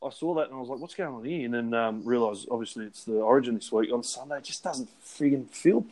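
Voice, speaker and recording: A mid-pitched Australian man in easy podcast banter. He rambles in a blokey way with casual swearing and the odd laugh between words. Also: quick pace, darkish tone. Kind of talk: casual chat.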